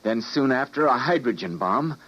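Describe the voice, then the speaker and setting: An actor playing a weary, idealistic scientist and time traveler, narrating and speaking gravely and dramatically in an old-time radio drama. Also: male voice, mid-range voice, expressive, moderate pace, fairly bright tone. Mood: resigned, urgent. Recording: dull old radio recording.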